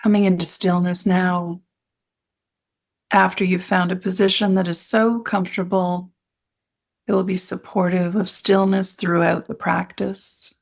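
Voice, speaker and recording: Low-pitched woman guiding meditation, creaky voice. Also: level tone, measured pace. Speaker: guided meditation and yoga nidra instructor. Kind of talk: guided meditation, gentle instructional narration.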